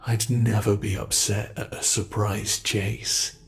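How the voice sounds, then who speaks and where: A British man voicing an ancient male monster in an ASMR horror roleplay, speaking with soft, mockingly polite menace. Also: on the low side, little pitch movement, creaky. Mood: teasing. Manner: slow, soft-spoken, teasing menace.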